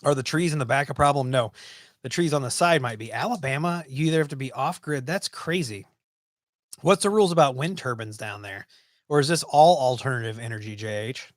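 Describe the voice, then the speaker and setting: A man's voice: mid-range voice, a little fast, no room sound. Guy in his 40s chatting on a desk webcam stream, lots of ums, dry close sound. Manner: relaxed, rambling, many ums and restarts. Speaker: livestream host. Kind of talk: casual live-stream chat reading viewer comments. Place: small home office, indoors.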